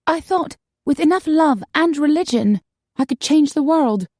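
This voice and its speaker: A woman voicing a naive, emotional young female vampire with a high, girlish voice in an audiobook. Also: lively intonation, normal speed, a little mellow, dry recording, studio quality. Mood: tipsy, confiding. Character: naive, tearful young female vampire, sweet and wide-eyed. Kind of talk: audiobook character acting.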